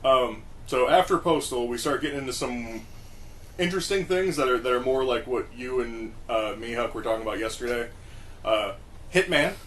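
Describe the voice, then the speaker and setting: Halting mid-pitched man in casual chat. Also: animated, a bit bright. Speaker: podcast co-host. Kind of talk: casual chat about games and films. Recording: faint background noise.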